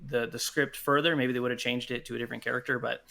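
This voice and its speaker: A man's voice: medium pitch, little pitch movement, fast talker, gravelly, bright, forceful tone. A man speaking as a podcast guest in a casual interview, talking about his production work.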